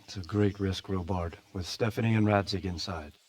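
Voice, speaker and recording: An actor playing a worried, cautious, weary medieval lord in a video game. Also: male voice, low-pitched, steady tone, moderate pace, a little mellow, no echo, natural voice. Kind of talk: dramatic video game acting.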